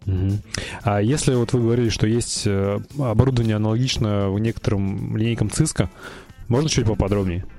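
A man's voice: on the low side, steady tone, fairly quick, warm tone, faint music behind. A man co-hosting a podcast and putting follow-up questions to the guests. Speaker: podcast co-host.

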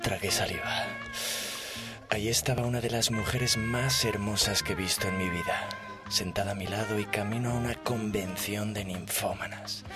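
Man playing a low-voiced smitten traveller, hushed storyteller. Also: fairly even intonation, moderate pace, loud music behind the voice, natural voice. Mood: nervous composure. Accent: Spain. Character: smitten male air traveller telling his comic story. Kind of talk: comic sketch narration.

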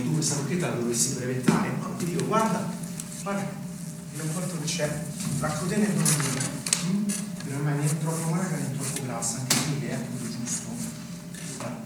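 A man's voice: on the high side, normal speed, close to an everyday voice. A man acting on stage as a smug, talkative small-town man who preaches local independence and prods with tag questions. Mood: jovial, boastful. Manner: rhetorical, prodding with tag questions. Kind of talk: live stage theatre acting. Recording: very echoey, distant, noisy stage recording.

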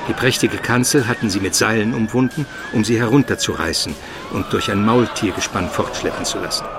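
A man's voice: fairly low pitch, a little fast, close to an everyday voice. A man playing an educated Byzantine minister who narrates his memories solemnly and vividly in a radio play. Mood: horrified, solemn. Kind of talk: dramatic scripted first-person narration, radio play.

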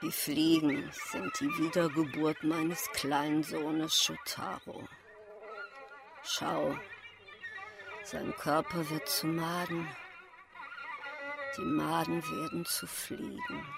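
A slow, creaky voice of a grieving, half-mad mother for radio drama. The pitch swings widely between scolding and tenderness, with music behind. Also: wildly swooping pitch, exaggerated voice. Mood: mournful, tender. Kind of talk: German radio drama acting.

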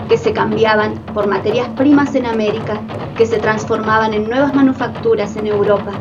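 A woman's voice: a little high, distant mic, dull audio. A woman reading scripted narration for a radio play. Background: music.

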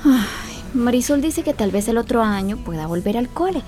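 A woman's voice: on the high side, lively intonation, normal speed, mellow, faint music behind, lightly acted. An actress playing an anxious, affectionate pregnant teenage girl with a Costa Rican accent in a radio drama. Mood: worried, confiding.

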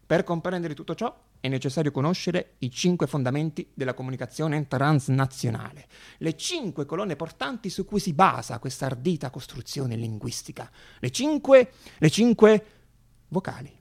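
Guy doing a theatre monologue, creaky voice, dramatic pauses, goes hushed then punchy. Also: fairly high pitch, sing-song. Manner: dramatic pauses, repeated phrases, shifting from hushed to emphatic. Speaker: actor and monologuist.

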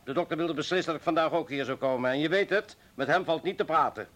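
Man playing an impatient party boss, slightly high voice. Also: fast, fairly bright tone, lightly acted. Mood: wry, complaining. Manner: brusque, impatient, familiar. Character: impatient, important male local party leader. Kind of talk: radio drama acting.

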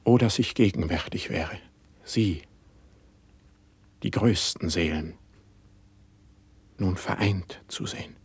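An actor playing a dreamy young poet in a classical verse radio play, speaking in a lofty, reverent way. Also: male voice, sits low, slow pace, long pauses, husky, no room sound, exaggerated voice. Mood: rapt, yearning. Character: dreamy young male poet, rapt and humble.

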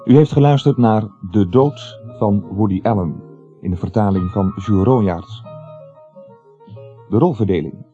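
A man with a husky voice reading a scripted broadcast announcement of play credits. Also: lowish voice, measured pace, long pauses, mellow. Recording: dull lo-fi radio recording. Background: faint music.

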